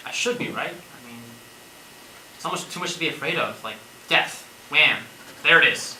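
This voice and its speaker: A man's voice: a little high, lively intonation, moderate pace, bright, forceful tone, far from the mic. Guy acting as wry rambling zombie apocalypse survivor musing on death, stage play, echoey and kinda noisy. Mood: anxious, musing.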